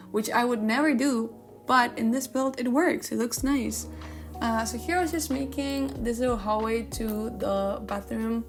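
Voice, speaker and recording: A woman with a fairly high voice chats casually over background music as she narrates a gaming build. Her first language is Spanish, and she talks informally, interrupting herself as she looks for words. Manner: informal, self-interrupting, searching for English words. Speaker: gaming video creator. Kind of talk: casual, chatty build commentary.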